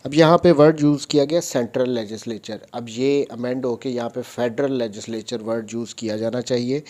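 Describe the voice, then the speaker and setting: A man with a Pakistani accent giving an explanatory law lecture, reading statutes aloud and going over each point step by step in a deliberate manner. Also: mid-range voice, a little mellow.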